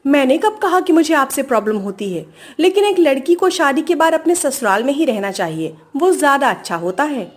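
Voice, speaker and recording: Woman acting a high-voiced, taunting young sister-in-law. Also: very animated, fairly quick, few pauses. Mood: pointed. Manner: pointed, sarcastic.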